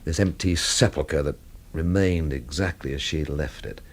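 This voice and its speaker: A young investigator at the centre of a radio mystery. His voice is low and measured, with a cool, dry, sardonic edge in both narration and dialogue. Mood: cool, probing. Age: young adult.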